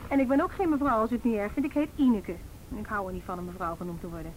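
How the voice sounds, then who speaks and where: A woman's voice: medium pitch, very fast, darkish tone, natural voice. An actress playing a prickly, offhand young woman in a radio play, nonchalant and contrary. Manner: nonchalant, then sharply contrary. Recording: faintly noisy old radio play recording.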